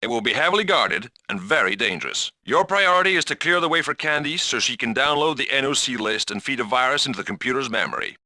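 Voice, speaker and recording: Spy game briefing boss, really sharp voice, lively, dry studio sound. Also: male voice, mid-range voice, fast, hardly any pauses, no echo. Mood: instructive. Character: authoritative male spy mission controller giving briefings. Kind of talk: scripted game mission briefing.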